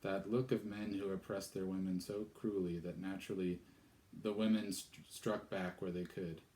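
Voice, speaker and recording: Low-voiced man reading a novel aloud. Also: normal speed, slight background noise. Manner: relaxed, chatty asides. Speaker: storyteller. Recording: echoey room.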